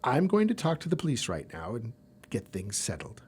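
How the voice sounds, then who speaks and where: A man's voice: medium pitch, wildly swooping pitch, moderate pace, breathy edge, exaggerated voice. A man playing an easily shocked, earnest university professor in an audio drama, speaking dramatically. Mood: subdued, earnest.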